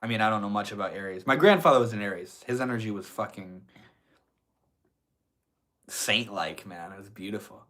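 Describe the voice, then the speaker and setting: A man's voice: lowish voice, moderate pace, long pauses, fairly bright tone. Laid-back stuffy-nosed streamer guy. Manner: laid-back, swears often, chats with viewers. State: stuffy nose. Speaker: livestreamer reading chat. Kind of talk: casual livestream chat.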